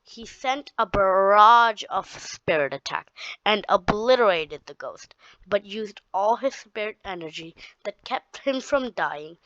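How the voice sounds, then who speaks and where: Slow, halting woman storyteller. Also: medium pitch, expressive, fairly bright tone, no echo. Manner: halting, with frequent restarts and repeated words. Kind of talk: reading her own story aloud. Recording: dull, dry lo-fi recording.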